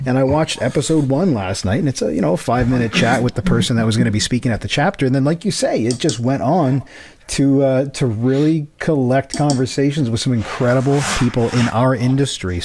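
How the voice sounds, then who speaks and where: A man's voice: mid-range voice, a little mellow, a little hiss or hum. Enthusiastic male podcast host. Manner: friendly and enthusiastic, says "man" often. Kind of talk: casual interview chat.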